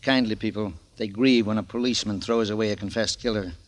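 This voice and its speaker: An actor playing a shady, mock-kindly lawyer in a radio crime drama, with precise, florid and insinuating courtesy. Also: male voice, fairly low pitch, steady tone, fast, natural voice. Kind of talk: 1950s radio crime acting.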